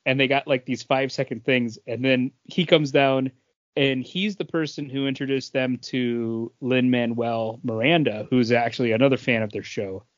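A man co-hosting a podcast in casual chat, telling things in a halting, conversational way. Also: medium pitch, fairly even intonation, no echo. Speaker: podcast co-host. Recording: dull lo-fi audio.

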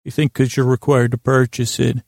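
His voice crackles with heavy fry, very dry and close to the ear. He is a man hosting a sleep podcast, wandering haltingly from one tangent to the next. The pitch sits in an ordinary male middle range. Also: little pitch movement, a little mellow, dry recording. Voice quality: creaky, gravelly voice. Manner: meandering, halting, goes off on tangents. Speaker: podcast host. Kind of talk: rambling bedtime monologue to help listeners sleep.

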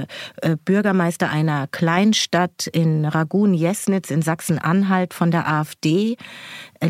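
A woman's voice: lowish voice, a little mellow, dry recording, clean studio sound. A German woman co-hosting a podcast, talking in a reflective, searching way with many fillers and restarts. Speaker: podcast co-host. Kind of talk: casual podcast conversation.

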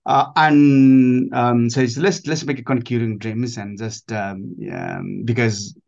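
A man in his forties from Nepal with a Nepali accent, answering interview questions haltingly and repeating himself as he searches for words. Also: mid-range voice, fairly even intonation, darkish tone, dull audio. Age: 40s. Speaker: interview guest, adventurer and army veteran.

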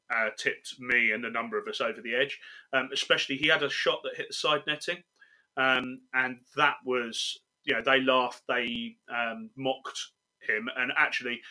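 A man from England on a podcast panel, telling match-day stories in lively casual chat. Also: mid-range voice.

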